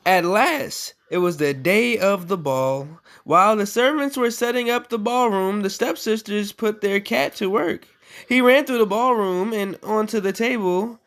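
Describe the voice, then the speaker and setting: A woman reading a bedtime story aloud in an animated style, with casual, playful and mocking asides. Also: medium pitch, unhurried.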